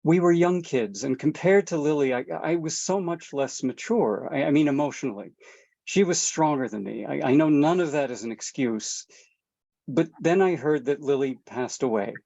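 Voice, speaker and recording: A man reading a halting, gravelly father. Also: a little high, mellow, lo-fi sound. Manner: halting, stammering. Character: guilt-ridden estranged father. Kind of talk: table read.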